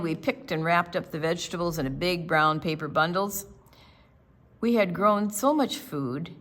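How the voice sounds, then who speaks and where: A woman's voice: medium pitch, fairly bright tone. An older woman reading a picture book aloud to children at a library storytime.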